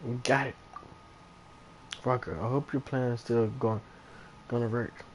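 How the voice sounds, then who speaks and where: A man's voice: medium pitch, level tone, measured pace, slight background noise. A man streaming a game live, casually commentating and muttering to himself as he plays.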